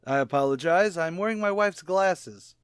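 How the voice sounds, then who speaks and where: An urbane man of letters put on by a male actor speaks in a low, measured voice. He is polite and bookish, in the style of 1940s radio comedy, recorded close and dry. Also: smooth, no room sound, lightly acted. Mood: polite, puzzled. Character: urbane intellectual male guest lecturer with lowbrow tastes.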